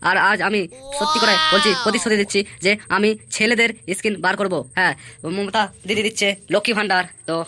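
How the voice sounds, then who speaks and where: Woman acting blustering male village politician, rapid-fire delivery. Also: mid-range voice, steady tone, very noisy, close to an everyday voice. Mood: boastful, promising. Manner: blustering, repetitive speechifying, scolding. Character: Joga, boastful scheming village election candidate, male. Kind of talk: comic cartoon campaign speech.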